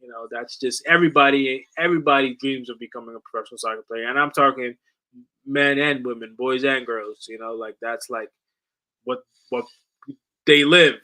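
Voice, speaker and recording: A man in his late twenties talks sports in a casual, slangy way, dropping swear words and "bro" as he goes. His voice sits at a middle pitch with a bright, forward edge. He comes from the Netherlands and moved to America at ten. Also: moderate pace, rough recording. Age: late 20s. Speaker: sports watch-along streamer.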